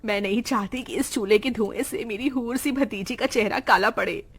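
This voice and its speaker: A woman voicing a poor, loving, worried village aunt in a cartoon, with a husky voice. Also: fairly high pitch, moderate pace. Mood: caring.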